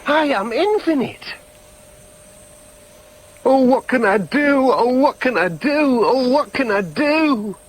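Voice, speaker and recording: A man's voice: extremely high pitch, lively intonation, slow, long pauses, exaggerated voice. An actor playing a rambling, rapturous man, musing in wonder on his own existence in a radio drama monologue. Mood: exalted, then anguished.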